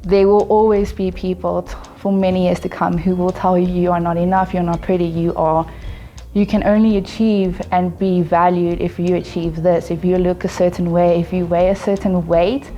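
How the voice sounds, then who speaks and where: A woman's voice: lowish voice, level tone, moderate pace, mellow, highs cut off, slight background noise. A woman sharing a personal reflection as an interviewee, speaking earnestly and building to encouragement.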